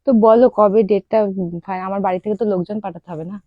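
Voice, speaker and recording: Fast-talking young woman, teasing and persistent. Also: medium pitch, steady tone, dry recording, lo-fi sound. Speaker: prank caller and vlog host. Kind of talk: casual prank phone chat with asides to viewers.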